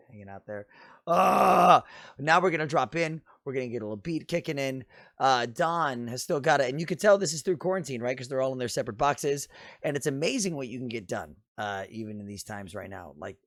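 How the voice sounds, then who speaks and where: Deep, raspy-voiced man reacting with enthusiasm. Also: a bit bright, dry recording, studio quality. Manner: enthusiastic, chatty, with fillers. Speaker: music reaction streamer. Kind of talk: casual reaction commentary.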